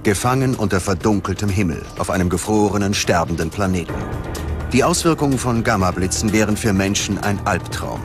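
A man's voice: fairly low pitch, a little fast. A man reading scripted documentary narration in a dramatic, ominous manner. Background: dramatic music.

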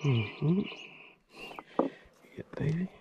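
A man fishing on a rocky riverbank, talking to an action camera on his chest, switching between excited whoops and quiet murmured asides, a little out of breath. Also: on the high side, drawn-out words, dull audio, heavy background noise. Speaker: fishing vlogger. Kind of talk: excited outdoor fishing commentary. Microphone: chest-mounted action camera.

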